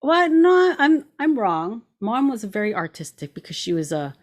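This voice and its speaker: A woman's voice: a little high, big pitch swings, normal speed. A woman in her forties hosting a show, chatting casually in an informal, giggly way. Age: 40s. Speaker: show host. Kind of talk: casual conversation.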